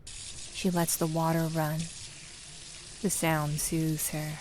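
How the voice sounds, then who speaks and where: A woman reading scripted dramatic narration in a measured, brooding, literary manner. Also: deep voice, little pitch movement, noisy background.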